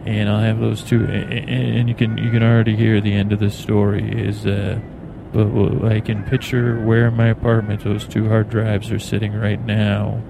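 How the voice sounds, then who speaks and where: A man hosting a bedtime podcast in a meandering, halting ramble, stammering and repeating words. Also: lowish voice, vocal fry. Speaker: podcast host. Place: on an airplane in flight. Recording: steady airplane cabin noise behind voice. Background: airplane cabin drone.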